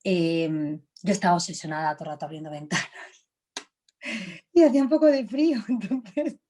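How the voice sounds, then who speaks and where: A woman's voice: on the low side, moderate pace, warm tone. Chatty woman. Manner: reflective, laughs often, frequent fillers. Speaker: actress, interview guest. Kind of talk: relaxed conversational interview answers.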